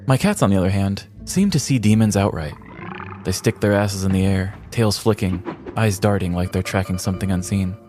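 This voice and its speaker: An American man speaks as a podcast co-host, in chat or in scripted storytelling. His voice sits fairly low, and there is some music in the recording. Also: brisk. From small-town Missouri, US.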